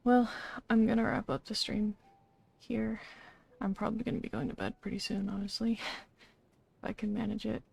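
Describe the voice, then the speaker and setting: A woman livestreamer chatting casually while gaming, in a low-key, somewhat hesitant way. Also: flat delivery, normal speed, creaky, pauses often, a little mellow, breathy edge. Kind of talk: casual game stream chat.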